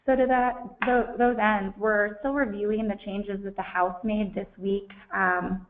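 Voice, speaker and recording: A woman from Ohio who has a cold and is losing her voice, giving a measured, earnest webinar presentation as a guest speaker. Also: mid-range voice, fairly even intonation. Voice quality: scratchy. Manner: measured, earnest, some ums. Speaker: guest speaker, hospital association advocacy director. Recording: tinny phone-line audio.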